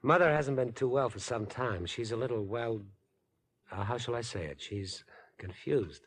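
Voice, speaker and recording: An actor playing a sly young villain in 1950s radio drama, politely insinuating, with hesitant feigned concern. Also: male voice, mid-range voice, normal speed, breathy edge, lightly acted. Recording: vintage 1950s radio broadcast.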